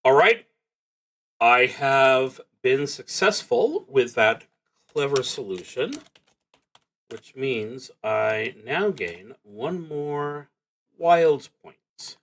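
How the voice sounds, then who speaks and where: A man narrating his solo tabletop roleplaying game, thinking aloud in a halting, musing way and trailing off mid-thought. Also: medium pitch, animated, a bit bright.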